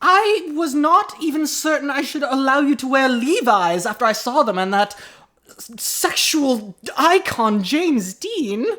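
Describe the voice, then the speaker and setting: A prim old granny of ninety, fussing and disapproving in drawn-out phrases. The voice sits very high, in the manner of a comic radio play. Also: female voice, expressive, fairly bright tone. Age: 90s. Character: fussy ninety-year-old grandmother detective, old-fashioned and disapproving.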